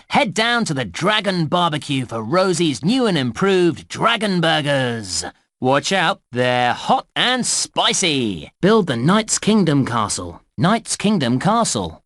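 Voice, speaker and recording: A cheerful British theme park guide for a children's game. The voice sits fairly high and swings widely in pitch, with crisp enunciation and eager encouragement. The recording is dry and close. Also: male voice, fairly bright tone, no room sound. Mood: announcer-like, then plain announcing. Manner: enthusiastic, clearly enunciated children's presenter delivery. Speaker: game narrator and tutorial guide. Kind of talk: scripted children's game narration.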